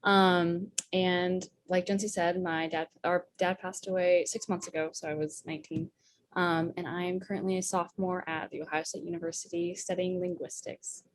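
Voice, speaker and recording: A nineteen-year-old woman introducing herself as a panel guest, soft and hesitant. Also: fairly low pitch, flat delivery, normal speed, dry recording. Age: young adult.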